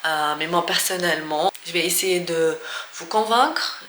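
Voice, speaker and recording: Casting: young Algerian-accented woman, casual teaching vlogger, lowish bright voice, slips Arabic into her talk, roomy sound. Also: unhurried. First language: Arabic and French. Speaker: vlogger giving language tips. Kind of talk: casual educational talk to camera. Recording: echoey room with faint noise.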